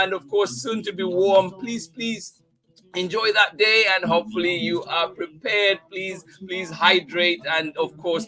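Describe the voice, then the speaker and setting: A man's voice: on the high side, sharp tone, low-quality recording. An American man hosting a children's online story time, effusively greeting viewers and repeating his thanks. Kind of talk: live stream greetings to viewers before a picture book read-aloud.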